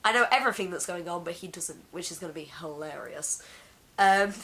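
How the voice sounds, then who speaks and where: A young Englishwoman with a deep voice, hoarse from being ill, rambling through a casual vlog chat at home on a camera mic. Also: a little fast, pushed and bright, low-quality recording. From England. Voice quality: deep for a woman. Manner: rambling, chatty, laughs often. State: hoarse from illness. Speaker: vlogger. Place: home room.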